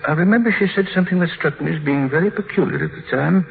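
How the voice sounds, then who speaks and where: A man playing a grave, courteous, formal physician in a measured 1950 radio drama style. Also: fairly high pitch, creaky, phone-quality sound. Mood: puzzled recollection. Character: grave, courteous male physician guarding professional ethics. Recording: noisy band-limited 1950 radio recording.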